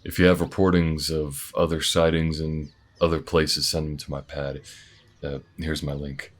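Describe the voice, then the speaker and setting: Man voicing a very deep, husky alien bounty hunter. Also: level tone, moderate pace. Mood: low-key, practical. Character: laconic, tactical alien bounty hunter with low gruff voice. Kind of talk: audio roleplay acting.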